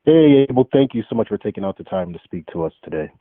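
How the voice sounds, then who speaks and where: Guy reporter on a phone line, lowish voice, polite questions. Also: sing-song, fast talker, slight background noise. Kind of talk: polite conversational press questioning. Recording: narrow muffled telephone conference line.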